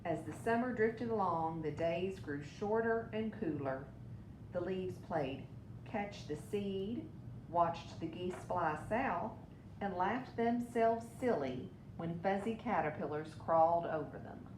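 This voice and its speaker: A woman reads a storybook aloud for children. Her pace is very slow and her voice slightly low. The room is echoey, with a clear rumble behind her. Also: animated, darkish tone. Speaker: storybook reader.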